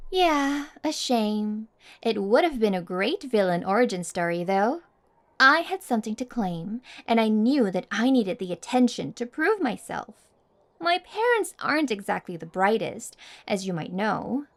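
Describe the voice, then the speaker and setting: A woman acts out a smug, obsessive high school queen bee in an ASMR roleplay. Her voice is high and swings animatedly as she taunts the listener. She is condescending and boastful, drawing out her teasing. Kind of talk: intimate ASMR roleplay monologue addressed to listener.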